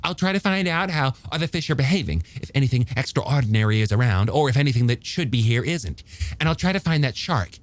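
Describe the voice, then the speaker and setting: A man's voice: mid-range voice, animated, fast, voice pushed up, lightly acted. A man playing an eager, bookish young male scientist in an audiobook, stammering a little as he explains things emphatically and testily. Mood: thoughtful. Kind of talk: audiobook character dialogue.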